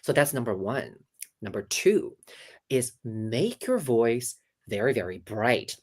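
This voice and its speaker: A woman voice coach talking casually on a video call, animated and demonstrative, with many fillers and restarts. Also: extremely low pitch, slow pace, breathy edge. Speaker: voice coach guest. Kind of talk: casual interview, teaching.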